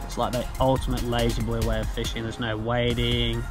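Laid-back New Zealand fishing vlogger. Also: male voice, mid-range voice, monotone, brisk, over music. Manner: laid-back, slangy and enthusiastic. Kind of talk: casual outdoor vlog. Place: outdoors on a lake in a small kayak. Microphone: action camera mounted on the kayak.

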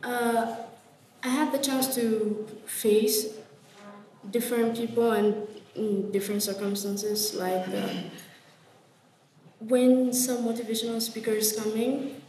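A woman's voice: fairly high pitch, little pitch movement, slow, a little mellow, reverberant, far from the mic, slight background noise, poor audio. A young woman from Ethiopia, a student journalist, answering earnestly and searching for her words in a classroom exercise. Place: classroom workshop, indoors.

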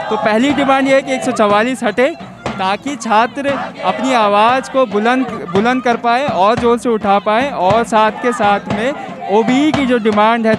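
A young male student protester answering into a handheld mic outdoors, pausing with fillers as he lists demands. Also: extremely high pitch. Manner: hesitant, searching for words. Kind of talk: street interview at a protest. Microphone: handheld reporter mic.

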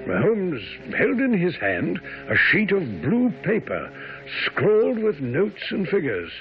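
An actor playing a genial, loyal Victorian gentleman, companion and narrator in a radio drama. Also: high voice, wildly swooping pitch, slow, dull audio, faint music behind, heavily acted. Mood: measured storytelling. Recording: band-limited old radio broadcast.

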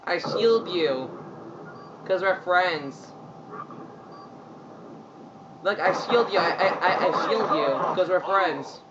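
A man trolling over game voice chat in a put-on squeaky, whiny, childish voice. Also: expressive, slow pace, lo-fi sound, noisy background. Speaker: gamer trolling in online voice chat. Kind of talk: prank voice chat during online shooter.

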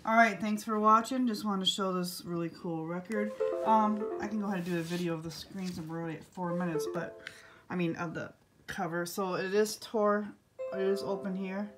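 A woman talking casually off camera as she demonstrates an item, recorded indoors on a handheld phone. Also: medium pitch.

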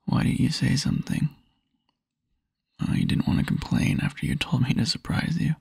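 Man playing tender young boyfriend, ASMR roleplay, husky near-whisper, very wide pitch swings, close dry mic, wistful, halting. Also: sing-song, no echo, heavily acted. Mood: gently teasing. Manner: soft, halting, intimate murmur with small chuckles. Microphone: close microphone, dry.

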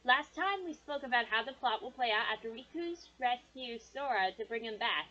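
A woman's voice: fairly high pitch, expressive, normal speed, pushed and bright, muffled recording. A woman hosting a video, reading scripted fan commentary. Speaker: video host.

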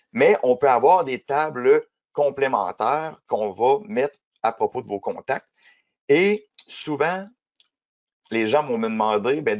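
A man, a software trainer giving a webinar while he has a cold and speaks with many fillers. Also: fairly low pitch, muffled recording. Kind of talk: webinar presentation.

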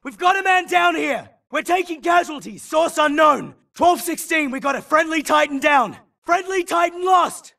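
Gravelly, frantic battlefield grunt. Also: male voice, very high-pitched, animated, moderate pace, few pauses, bright, forceful tone, shouting, far from the mic, full character voice. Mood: frantic, urgent. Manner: urgent, clipped military callouts. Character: frantic male futuristic infantry grunt in battle. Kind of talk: shouted video game combat barks.

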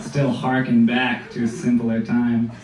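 A man's voice: medium pitch, monotone, a little slow, heavy background noise. A man in his late twenties with a nasal American voice, doing a dry stand-up set in a small club. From United States. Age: 20s. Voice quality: nasal, stuffy voice. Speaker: stand-up comedian. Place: small comedy club, indoors, with audience. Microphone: handheld mic, recorded from the crowd. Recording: roomy audience phone recording from far off. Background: audience laughter.

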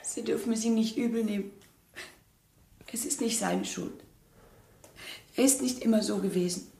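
An actress playing an anxious, devoted, jealous village wife, emotional and pleading, in a German radio crime drama. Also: female voice, medium pitch, normal speed, creaky, warm tone, close to an everyday voice. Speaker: actor in a radio play.

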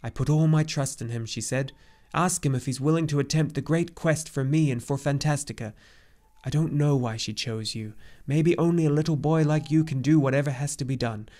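A man's voice: fairly high pitch, brisk, darkish tone, lightly acted. A man voicing a very old, grave centaur in an audiobook story, with an emphatic, exclamatory manner. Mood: calmer, grave. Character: very old agitated centaur messenger, grave and weary.

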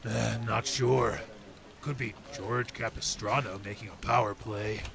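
A gravelly veteran detective. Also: male voice, on the low side, steady tone, unhurried, crowd noise. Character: hardened veteran male city detective. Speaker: Detective Henry Reeves. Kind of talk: old time radio drama acting. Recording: hissy background noise.

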